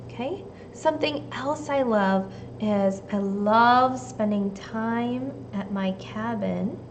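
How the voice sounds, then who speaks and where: Female teacher for a kids' read-aloud, higher-pitched, unhurried, simple phrasing, slight background noise. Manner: deliberate, simple phrasing addressed to young children. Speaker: teacher reading a storybook aloud to children. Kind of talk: expressive storybook read-aloud and simple instruction for kids.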